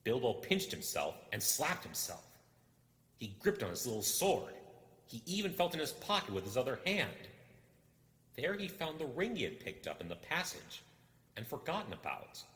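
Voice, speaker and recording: A man reading a story aloud as dramatic narration, expressive and suspenseful. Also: medium pitch, normal speed. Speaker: amateur audiobook reader. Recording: echoey hall-like reverb.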